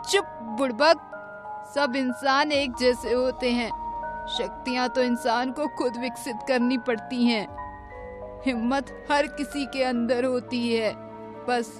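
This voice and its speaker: A woman voicing a doting old village grandmother in a Hindi cartoon, with emphatic, fond scolding. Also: sits high.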